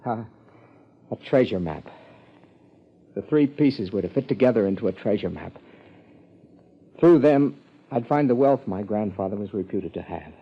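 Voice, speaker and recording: A man playing a bitter, unhinged murderer in a 1950s radio drama, brooding and halting in his delivery. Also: fairly low pitch, animated, breathy edge. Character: bitter, unhinged male murderer, a miser's grandson. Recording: dull 1950s radio recording.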